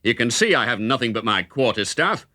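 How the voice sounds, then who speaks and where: A man's voice: mid-range voice, sing-song, brisk, sharp tone, no room sound, clean studio sound. A man voicing a massive older fighter in a children's storybook, blunt, hearty and commanding. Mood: taunting.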